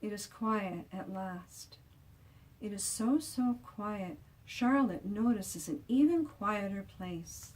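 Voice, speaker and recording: Slow, expressive woman reading a picture book. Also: medium pitch, far from the mic, slight background noise, low-quality recording. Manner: deliberate, drawing out sound words for emphasis. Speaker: children's author reading own book aloud.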